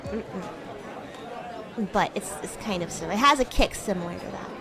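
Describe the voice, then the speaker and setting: A woman's voice: medium pitch, lively intonation, moderate pace, crowd noise, voice pushed down, natural voice. A woman voicing a bratty young demon schoolgirl in a roleplay stream, teasing and stammering when flustered. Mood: chatty. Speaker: VTuber streamer playing a character. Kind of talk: improvised roleplay livestream, chatting with viewers.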